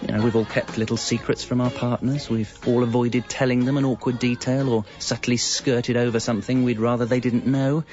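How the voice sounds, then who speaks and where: An actor playing a wry, evasive British husband and father in his thirties, confessing in a deadpan, conversational comic narration. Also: medium pitch, a little fast, few pauses, close to an everyday voice. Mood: confiding, deadpan. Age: 30s. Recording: dull, lo-fi radio audio. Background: music.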